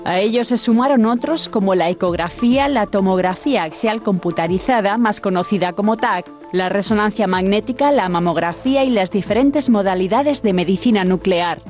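A woman's voice: medium pitch, a little mellow, soft background music. Woman narrating an explanatory report. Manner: explanatory, measured.

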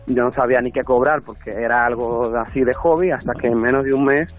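A male interview guest with a Dominican accent speaks conversationally in a medium-pitched voice. The sound is narrow and phone-like, with light music underneath. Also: steady tone, brisk. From Dominican Republic. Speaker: interview guest, artist and designer. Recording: thin phone-like band, faint music.

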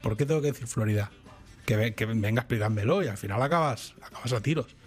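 A man's voice: medium pitch, quick pace, faint music behind. Chatty man, rambling storyteller. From Spain. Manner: rambling, animated storytelling with restarts. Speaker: podcast co-host. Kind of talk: casual chat.

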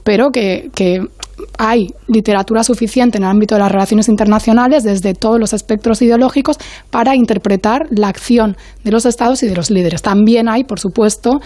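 A woman speaking as an academic guest on a panel, in a measured, analytical way, often searching for her next word. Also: fairly high pitch, warm tone, no echo.

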